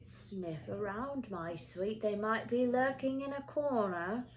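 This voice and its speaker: Woman playing a sinister, muttering male caretaker. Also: a little high. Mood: sly menace. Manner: hushed, muttering, slow. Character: sinister, prowling caretaker man hunting students.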